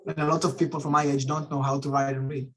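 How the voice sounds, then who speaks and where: Halting young man. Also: on the high side, level tone, fairly bright tone. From Israel. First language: Russian. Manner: halting, many fillers, loose non-native grammar. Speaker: guest speaker. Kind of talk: talk to an online audience.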